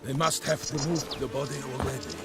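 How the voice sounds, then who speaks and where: An actor playing a proud, earnest knight errant in a video game, speaking in a formal, flowery, theatrical way. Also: male voice, mid-range voice, fairly quick, close to an everyday voice. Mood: courtly, earnest. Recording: music behind.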